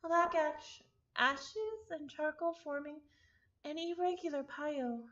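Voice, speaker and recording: A woman streamer reading a visual novel aloud, mixing expressive narration with casual asides. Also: sits high, slow pace, highs cut off.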